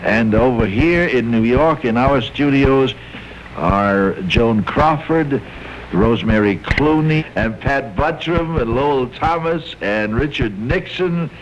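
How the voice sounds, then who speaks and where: A man's voice: mid-range voice, lively intonation, takes it slowly, slight background noise. A man casually introducing an old-time radio show as its host, chatty and drawing out his lists. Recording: dull old radio recording.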